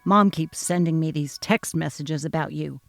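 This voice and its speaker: A woman voicing a young husband who talks calmly and dismissively. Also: low voice, normal speed, creaky, soft background music. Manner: calm, brushing off, patronizing. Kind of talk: dramatic reading of a text-message story.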